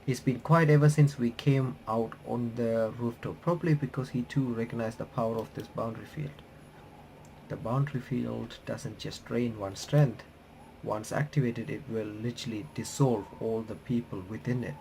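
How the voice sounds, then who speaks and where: Man, mid-pitched voice, casual game playthrough, halting read-aloud, stumbles over phrases, off-the-cuff reactions, slightly noisy home recording. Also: steady tone, vocal fry, a little mellow. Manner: halting, stumbling reader who rereads phrases. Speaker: gaming YouTuber reading a visual novel aloud.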